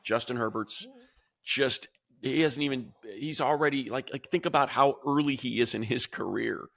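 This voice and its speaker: A man co-hosting a sports talk show as a radio play-by-play announcer, energetic and rambling, talking in a studio. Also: normal speed, vocal fry, dry recording. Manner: energetic, rambling, mimics fans in a high voice.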